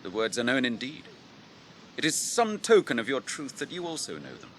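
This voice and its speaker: Grave, lively-toned English warrior captain. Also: male voice, mid-range voice, a little hiss or hum. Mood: probing, stern. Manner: declamatory, grave, deliberate. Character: stern, noble warrior captain, probing and grave. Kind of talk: BBC radio drama acting.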